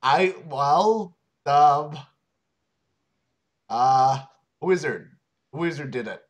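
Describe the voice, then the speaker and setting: A man's voice: fairly high pitch, expressive, extremely slow. A man co-hosting a comedy reading, chiming in with hesitant, rambling interruptions. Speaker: co-host. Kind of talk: comedic banter.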